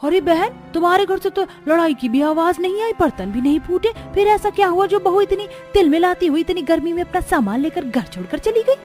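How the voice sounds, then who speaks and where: A woman's voice: very high voice, animated, a little fast, hardly any pauses, a little mellow, soft background music, voice pushed up. A woman voicing a nosy neighbourhood auntie in a cartoon story, gossipy and full of exaggerated surprise. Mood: nosy, goading. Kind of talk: Hindi cartoon story voice acting.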